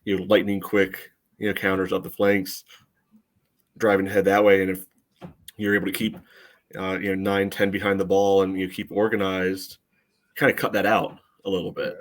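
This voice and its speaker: Lower-voiced man analysing a match casually. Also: little pitch movement, moderate pace. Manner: hedging, peppers talk with "you know". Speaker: co-host of a soccer fan podcast. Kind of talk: casual sports analysis chat.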